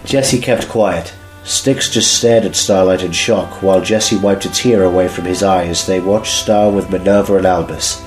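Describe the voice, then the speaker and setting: A low male voice narrates a dramatic story in scripted prose. The recording is roomy, with music playing softly behind him. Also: steady tone, normal speed, few pauses.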